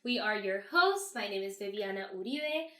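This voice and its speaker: A woman's voice: medium pitch, expressive, normal speed, fairly bright tone, echoey room. A woman from Venezuela hosting a casual podcast chat with friends, leading the talk and laughing often. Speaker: podcast host.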